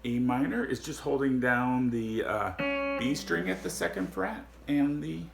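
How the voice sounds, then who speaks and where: A man with a deep voice giving a casual guitar lesson, explaining slowly with frequent pauses and fillers. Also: gravelly. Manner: slow, halting explanations with many fillers. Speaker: guitar teacher. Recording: echoey room with guitar playing.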